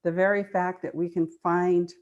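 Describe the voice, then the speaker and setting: Low-voiced older woman, earnest explainer. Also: little pitch movement, normal speed, darkish tone, dull audio. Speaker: guest speaker, nonprofit foundation trustee. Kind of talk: conversational answers in an online meeting.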